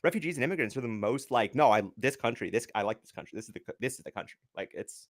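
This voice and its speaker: A young man chatting casually on a livestream, animated and swearing, acting out quotes. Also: mid-range voice, very fast, no echo, clean studio sound. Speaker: livestream co-host. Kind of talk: casual chat.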